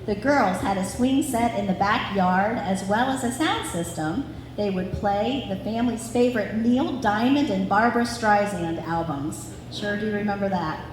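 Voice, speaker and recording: A woman's voice: mid-range voice, measured pace. A woman in her forties from Ohio reads a family story with chatty, sentimental asides at a live gathering. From Ohio, United States. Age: 40s. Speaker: daughter reading family story at anniversary event. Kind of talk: scripted family narration with informal asides. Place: large reverberant room at a live gathering. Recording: echoey hall, distant, clearly noisy.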